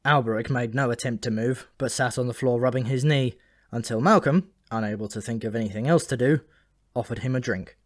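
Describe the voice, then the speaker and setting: A man's voice: medium pitch, a little fast. Man narrating comic fiction. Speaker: audiobook narrator.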